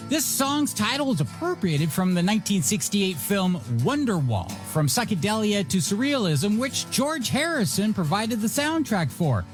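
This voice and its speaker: A man working as a music radio DJ, giving showy announcer patter with drawn-out phrase endings and playful, wry asides. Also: high-pitched, big pitch swings. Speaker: radio DJ and host. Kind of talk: music radio DJ patter, announcing songs and reading light news stories. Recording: radio broadcast with music underneath. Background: music bed.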